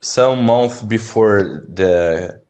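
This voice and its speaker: A young Brazilian man, a native Portuguese speaker, talking haltingly with many restarts in an online English lesson over a video call. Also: on the low side, a little mellow. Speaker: English learner. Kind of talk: online language lesson conversation.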